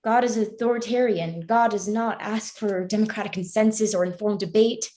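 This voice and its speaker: A woman's voice: medium pitch, fairly even intonation, moderate pace, mellow, close to an everyday voice. A woman playing an earnest, devout young woman, speaking formally in a live staged play reading. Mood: firm, devout. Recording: roomy, echoing voice pickup.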